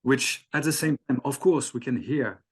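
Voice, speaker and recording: A man whose first language is French, interviewing a musician in English, halting and hesitant with many fillers and self-corrections. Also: medium pitch, slightly rough. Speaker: fan-club interviewer. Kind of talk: interview questions.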